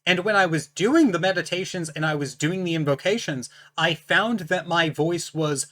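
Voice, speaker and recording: A host speaking straight to the viewer with a powerful, distinct voice and a bright, sharp edge to its tone. The delivery is casual but emphatic, setting out firm rules in a blunt, lecturing way. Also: mid-range voice, normal speed. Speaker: channel host reviewing a book. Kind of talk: casual explanatory talk to camera.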